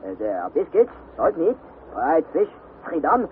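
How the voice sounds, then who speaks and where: An actor playing a steady, careful expedition guide with halting, deliberate speech in a 1960s radio drama. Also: male voice, on the high side. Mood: careful, matter-of-fact. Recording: tinny, noisy vintage radio recording.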